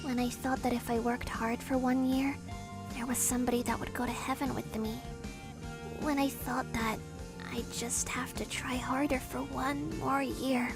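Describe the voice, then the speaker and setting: A woman playing an emotional young woman in a manga dub, speaking in an expressive, heartfelt way. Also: sits high, normal speed, over music. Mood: wistful, soft. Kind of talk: manga dub character acting.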